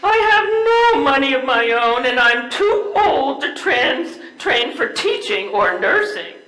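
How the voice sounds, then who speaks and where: A woman's voice: sits high, sing-song, slow pace, pushed and bright, heavily acted. An older woman playing a distraught, scornful wife in an amateur readers theater play, with a tearful, dramatic delivery. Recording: echoey room.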